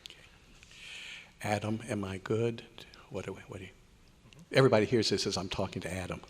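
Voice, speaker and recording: A man's voice: fairly low pitch, steady tone, fairly quick, slight background noise. A man speaking casually into a live microphone as he checks sound levels. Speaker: club member checking his microphone. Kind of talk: casual mic check before an audience. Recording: echoey hall.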